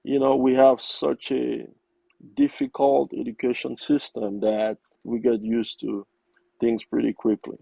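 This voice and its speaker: Man, from Cameroon, French first language, very gravelly, dry close sound. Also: medium pitch, a little slow, no echo, rough recording. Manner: relaxed, frequent fillers and restarts. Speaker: guest. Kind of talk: casual interview conversation.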